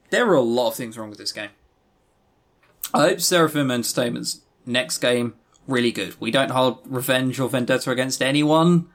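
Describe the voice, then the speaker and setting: A man co-hosting a podcast in casual chat, with a sarcastic, sweary, animated way of talking. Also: mid-range voice. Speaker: podcast co-host. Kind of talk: casual chat reviewing visual novels.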